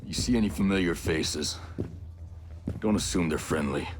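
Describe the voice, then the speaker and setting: An actor playing a blunt soldier troubled by a comrade's crimes, in a game cutscene. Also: male voice, deep voice, normal speed, over music, close to an everyday voice. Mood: steady. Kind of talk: video game cutscene acting.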